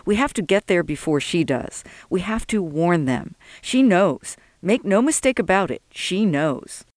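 A narrator plays an old, devout man in an audiobook story. He is solemn, weary and urgent, preaching with earnest emphasis and lively swings of pitch. The sound is dry and close. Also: measured pace, no echo, lightly acted. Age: older.

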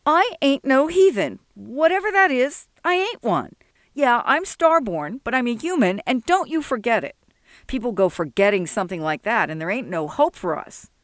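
A woman's voice: a little high, big pitch swings, moderate pace, no echo, pitched above the performer's own voice. Woman doing a sullen defiant young man. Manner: rough, clipped, irritable.

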